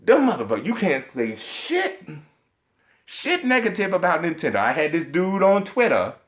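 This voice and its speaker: A man's voice: high voice, huge pitch swings, moderate pace, fairly bright tone. A man ranting profanely about video games, emphatic and indignant, stumbling over repeated fillers as he hunts for words. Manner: emphatic and indignant, heavy swearing; rambling with stacked filler hesitations. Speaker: video game commentator. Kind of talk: profane ranting vlog commentary.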